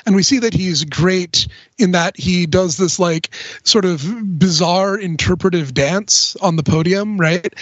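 A man with a fairly high voice talks through ideas in a casual, analytical podcast style. He explains discursively, dropping in asides as he goes. The sound is dry and close, slightly dulled and lo-fi. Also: no echo. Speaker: podcast panelist discussing film and music.

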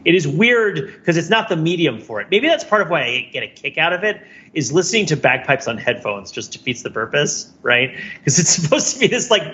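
A man's voice: fairly high pitch, sharp tone, muffled recording. A man talking casually as a podcast co-host in a rambling, self-deprecating, wry way. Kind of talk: casual conversational podcast chat.

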